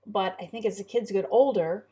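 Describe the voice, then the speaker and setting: A woman's voice: mid-range voice, brisk, dark tone. A middle-aged woman speaking conversationally as a parent and co-host.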